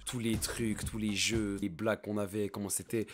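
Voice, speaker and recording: A young man giving casual, slangy commentary as a video creator, excitable, blunt and often repeating himself. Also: fairly low pitch, normal speed, over loud music. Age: young adult. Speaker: video creator presenting a tier list.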